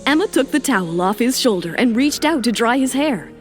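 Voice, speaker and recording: A woman narrates a romance audio drama in a fairly high voice. Her delivery is scripted and dramatic, with music playing underneath. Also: lively intonation, brisk.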